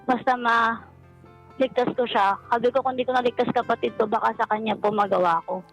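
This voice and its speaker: Woman caller telling her story on radio, kinda high voice, very polite, music behind her. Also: steady tone, fairly quick, low-quality recording. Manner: polite, deferential speech. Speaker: caller seeking relationship advice. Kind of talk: personal storytelling on a radio call-in show. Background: background music.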